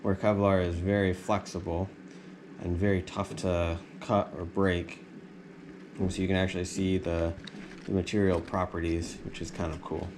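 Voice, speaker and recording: Guy, deep voice, casually explaining boat project stuff, noticeable background noise. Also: flat delivery, moderate pace. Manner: plain-spoken, explanatory. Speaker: boat-refit vlogger. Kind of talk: casual hands-on demonstration to camera. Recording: clear background noise, ambient sound.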